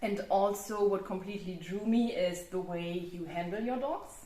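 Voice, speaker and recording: A woman's voice: mid-range voice, moderate pace, dark tone, roomy sound. A woman with a German accent, hosting a casual chat and laughing often. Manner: laughs often, restarts, loose and chatty. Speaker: host.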